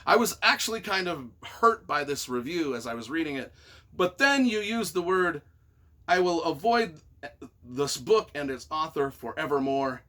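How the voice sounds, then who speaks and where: A man's voice: fairly high pitch, big pitch swings, moderate pace, fairly bright tone. A man reading reviews aloud and reacting off the cuff as their author, with a theatrical, emphatic, mock-exasperated delivery.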